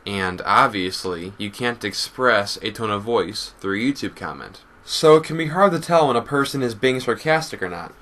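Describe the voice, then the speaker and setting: Bright, forceful male YouTube commentator. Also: on the low side, animated, moderate pace, few pauses. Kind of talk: scripted response commentary.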